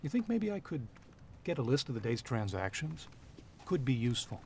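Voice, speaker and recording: An actor playing a cool, curt private investigator who talks and narrates in a businesslike 1940s radio noir style. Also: male voice, mid-range voice, big pitch swings, moderate pace, vocal fry, slight background noise. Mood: probing, unruffled. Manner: clipped, businesslike, unruffled.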